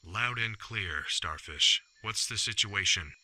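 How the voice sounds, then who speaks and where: A man playing an authoritative older military commander in a radio drama, giving firm, measured briefings. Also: fairly low pitch, animated, sharp tone, heavily acted, rough recording. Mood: businesslike.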